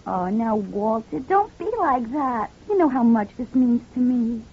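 An actress playing a stubborn, scheming American wife in an old crime radio drama, slangy and insistent. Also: female voice, on the high side, lively intonation, unhurried. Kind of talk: 1940s-50s crime radio drama acting. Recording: muffled old radio recording with noise.

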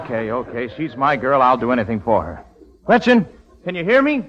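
A man's voice: mid-range voice, a little fast, very noisy, natural voice. An actor playing an eager, earnest young man in love in a vintage radio comedy. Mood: eager, scheming. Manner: earnest, excitable. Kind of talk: 1940s-50s radio comedy acting. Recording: dull old radio recording, faint music.

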